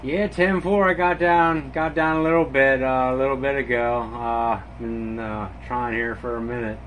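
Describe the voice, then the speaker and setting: A man's voice: medium pitch, steady tone, moderate pace, a bit bright. A man working as a CB radio operator, making friendly, repetitive call-sign calls in radio slang. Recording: noisy radio static in the room. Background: CB radio static.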